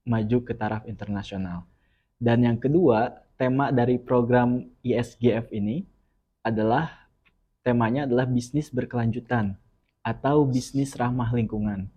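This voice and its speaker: A young man from West Java, explaining earnestly and at a measured pace as a student guest on a podcast. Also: mid-range voice, steady tone, dark tone. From West Java, Indonesia. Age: young adult. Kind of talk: podcast interview.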